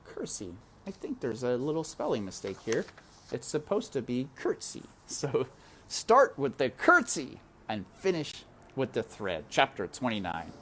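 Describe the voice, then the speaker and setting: Deep-voiced, expressive woman reading a storybook. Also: measured pace, a little hiss or hum. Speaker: read-aloud storyteller. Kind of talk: expressive children's book narration with asides.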